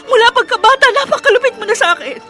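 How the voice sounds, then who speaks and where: A woman's voice: animated, fast, over loud music. A woman playing an anguished orphaned girl, tearful and bitterly accusing, in a melodramatic radio drama. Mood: anguished, furious. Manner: tearful, accusing, high-strung outburst.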